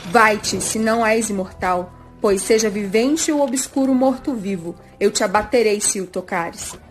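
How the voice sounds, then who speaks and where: A woman with a Brazilian accent playing a fierce, loyal warrior princess with a clear voice and solemn, defiant speech in a fantasy audio drama. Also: a little high, lightly acted. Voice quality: clear ringing voice.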